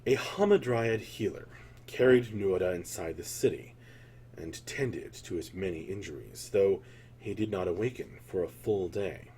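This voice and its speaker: A man's voice: fairly low pitch, darkish tone, a little hiss or hum. A man narrating a scripted fantasy tale as a storyteller, in a solemn, theatrical manner.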